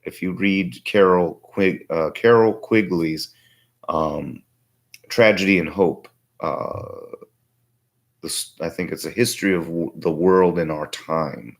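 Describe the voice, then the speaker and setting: Guy with a low tired voice losing it a bit, halting reflective politics talk, streaming from his home workshop. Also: creaky. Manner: halting, reflective, thinking aloud. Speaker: livestream host. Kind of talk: casual political commentary. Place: small home workshop, indoors.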